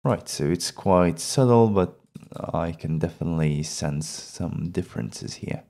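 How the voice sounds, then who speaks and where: A man narrates an instructional screen-recording tutorial in a very low, dark voice. His delivery is slow and measured, explaining each step plainly into a close microphone. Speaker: tutorial presenter.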